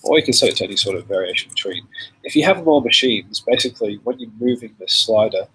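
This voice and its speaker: Man with a cold, rough voice. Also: on the low side, fast, low-quality recording. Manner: relaxed, chatty, reads out viewer questions. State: has a cold, voice a bit rough. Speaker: software developer hosting a live stream. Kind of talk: casual live demo with viewer questions.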